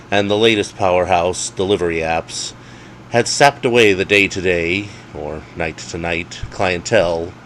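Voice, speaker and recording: A man's voice: lowish voice, a little hiss or hum. A man reading his own comedic ghost story aloud with a wry, dramatic storyteller's delivery.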